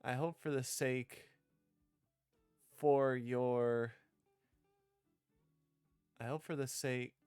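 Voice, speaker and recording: A man casually streaming as he works on a game script, reading lines aloud and rewording them in a halting way. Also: medium pitch, fairly even intonation, clean tone, no room sound, faint music behind. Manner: halting, thinking out loud, repeating and rewording lines. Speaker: streamer developing own visual novel.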